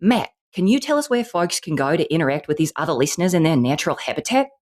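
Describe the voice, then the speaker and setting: A woman's voice: low voice, very animated, fast talker, a little mellow. A woman putting on an over-the-top wildlife documentary host with an Australian accent, narrating with theatrical flair and giggles. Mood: playful. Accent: put-on Australian. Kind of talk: comic parody of a nature documentary intro.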